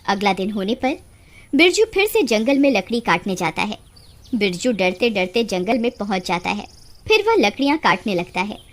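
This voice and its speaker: A woman narrating a cartoon story in a plain, steady storytelling manner. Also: medium pitch, moderate pace. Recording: music behind the voice.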